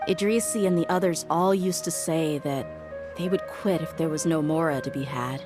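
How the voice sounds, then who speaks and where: Game heroine, woman performer: tough young desert mercenary, lowish voice, frank, introspective, music behind. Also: normal speed, lightly acted. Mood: melancholy, reflective. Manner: frank, reflective, weighty delivery. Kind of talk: video game voice acting.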